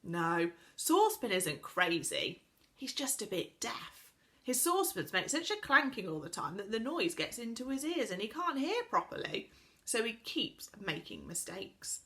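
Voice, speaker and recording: A woman's voice: a little high, very animated, measured pace, sharp tone, voice pushed up, full character voice. Woman voicing a patient, friendly boy. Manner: patient, explaining. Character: friendly sensible young boy.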